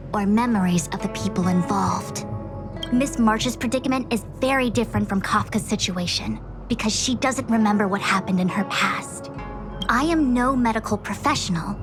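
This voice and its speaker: A self-assured female diviner played by a woman in a video game. She speaks formally and precisely, like a scholar explaining a method, at a middling pitch. Music plays clearly behind her. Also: lightly acted. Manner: formal, didactic, wordy. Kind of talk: scripted video game dialogue.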